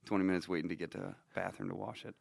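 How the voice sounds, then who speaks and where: Deep voiced guy with a cold, nasal and stuffy, rambling podcast host, dry close mic. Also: fairly even intonation, racing, creaky, darkish tone, dry recording. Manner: rambling, self-deprecating, restarts a lot. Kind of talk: casual podcast chat.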